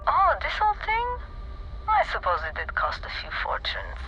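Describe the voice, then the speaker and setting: An actor playing a sly, wealthy, mysterious trader in a video game, amused and coy, with teasing, drawn-out phrasing. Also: very high-pitched, wildly swooping pitch, sharp tone, lo-fi sound, faint music behind, heavily acted. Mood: coy, evasive. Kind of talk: video game character dialogue.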